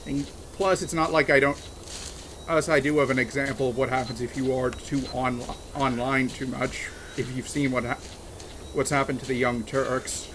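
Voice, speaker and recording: A man's voice: medium pitch, fairly even intonation. Rambling man on a noisy stream. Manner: rambling, halting, many restarts and fillers. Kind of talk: casual livestream chat while gaming.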